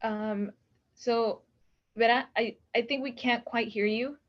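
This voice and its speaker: Gentle, informal woman moderator, muffled call audio. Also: a little high, fairly even intonation, slow pace. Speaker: panel moderator. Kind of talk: moderating a panel on a video call. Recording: dull video call audio.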